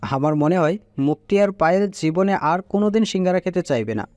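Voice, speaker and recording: A man's voice: sits high, animated, fairly quick, darkish tone, dry recording. A man playing a chatty young man in an audio family drama. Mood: playful.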